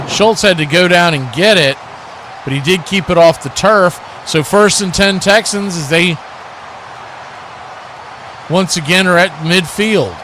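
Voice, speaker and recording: A man calling live football play-by-play in punchy short phrases with colourful action verbs. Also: a little high. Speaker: play-by-play commentator, watch party host. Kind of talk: live American football radio-style commentary.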